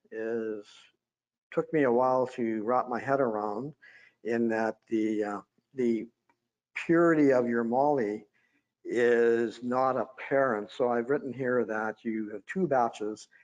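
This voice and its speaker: A man's voice: medium pitch, dull audio. Droning man lecturing with many fillers. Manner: hesitant, many fillers and restarts. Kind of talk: conference lecture on slides.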